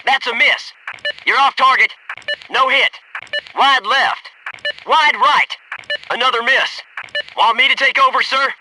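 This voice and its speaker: High-pitched cocky young soldier, noisy audio. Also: male voice, fairly even intonation, a little slow, long pauses. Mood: dry, disappointed. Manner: clipped, military, eager. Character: young male military sniper-spotter, cocky, keen, loyal squadmate. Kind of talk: video game combat voice lines, short radio callouts.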